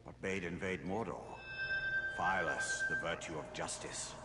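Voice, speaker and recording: An actor playing a solemn, betrayed fantasy warrior seeking justice, narrating dramatically in a video game. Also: male voice, deep voice, unhurried. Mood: solemn, brooding. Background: loud music.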